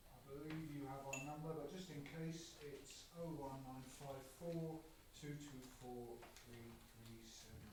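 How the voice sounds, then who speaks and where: A man's voice: medium pitch, fairly even intonation, a little slow, hardly any pauses, natural voice. Actor as courteous British hospice man, very echoey. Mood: polite, concerned. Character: John, polite, apologetic male hospice worker. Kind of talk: naturalistic answering-machine message acting. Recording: very echoey, distant, noisy message playback.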